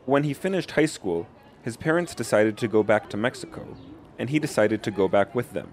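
A man reporting, reading a scripted news narration with measured, even delivery. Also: lowish voice.

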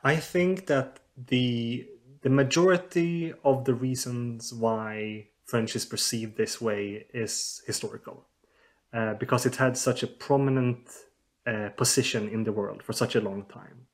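A man from southern Sweden answers interview questions as a linguistics expert, pausing often to find the words. His voice sits at an ordinary middle pitch, and he reasons through each idea carefully. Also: fairly even intonation. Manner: thoughtful, hesitant with many fillers. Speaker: linguist guest.

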